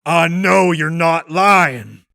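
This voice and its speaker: A man voicing a big, gentle but stern farm pony who talks in a slow, grave, folksy way for a story reading. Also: on the high side, vocal fry, no echo, clean studio sound, voice pushed up, exaggerated voice. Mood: upset, disapproving.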